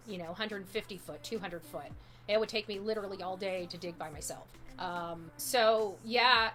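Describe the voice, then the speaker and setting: A woman's voice: mid-range voice, a bit bright. A woman hosting a livestream, giving opinionated, casual true-crime commentary in a blunt, emphatic, rambling and chatty way. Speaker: livestream host.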